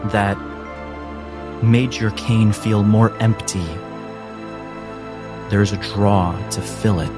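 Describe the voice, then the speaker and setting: A low-voiced man runs a tabletop game as its narrator. He speaks slowly in the second person, measured and suspenseful, leaving long pauses, with music clearly playing behind him. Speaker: game master. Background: background music.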